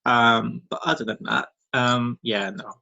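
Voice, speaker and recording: A man's voice: mid-range voice, fairly even intonation, a little slow, gravelly, pushed and bright, muffled recording. A British man in his thirties chatting as a podcast guest, rambling with lots of ums and restarts and laughing easily. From Britain. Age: 30s. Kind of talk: casual chat.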